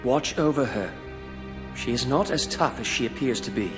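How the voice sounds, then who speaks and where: An actor playing a composed, courteous young lord in a video game, speaking in a formal, stately and authoritative way. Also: male voice, medium pitch, lively intonation, normal speed, over music, natural voice. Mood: calm, commanding. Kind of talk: video game cutscene acting.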